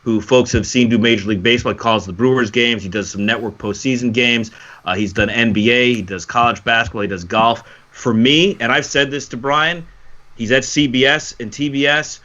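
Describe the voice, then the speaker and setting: Bright, forceful male sports host, slightly dull recording. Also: mid-range voice, fairly even intonation, a little fast. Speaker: sports talk show co-host and broadcaster. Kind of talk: sports commentary talk.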